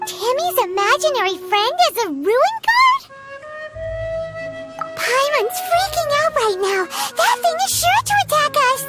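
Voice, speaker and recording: A woman voicing a tiny, childlike, excitable fairy companion in a video game, bubbly and given to exclaiming. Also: very high voice, measured pace, loud music behind the voice, exaggerated voice. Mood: startled, alarmed. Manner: refers to itself in third person, exclaiming.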